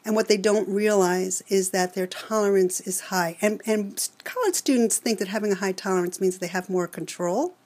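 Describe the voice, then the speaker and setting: A woman's voice: medium pitch, normal speed, hardly any pauses, a little mellow. New York woman counselor, earnest. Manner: earnest, explanatory, lecturing. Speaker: college alcohol counselor. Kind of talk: expert interview answers.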